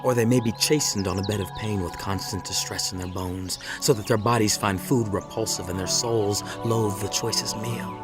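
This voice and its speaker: An actor playing an earnest, righteous biblical orator in a dramatized audio Bible, speaking emphatically with deliberate, rhetorical pauses. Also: male voice, on the low side, fairly even intonation, close to an everyday voice. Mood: grave, admonishing. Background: music.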